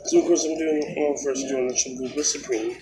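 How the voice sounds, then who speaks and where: A man in his thirties talks casually into a close phone camera, his voice nasal from a cold. He rambles, with plenty of fillers and restarts, at a middling pitch. A clear hiss of noise runs under the recording. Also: fairly even intonation, a little fast. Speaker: vlogger. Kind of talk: casual food review vlog. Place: indoors, small room.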